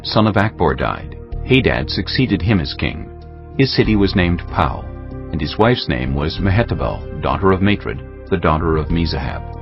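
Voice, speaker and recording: Deep, gravelly male scripture narrator. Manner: solemn, measured storytelling. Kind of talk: dramatized audiobook scripture narration. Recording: dull lo-fi, music bed.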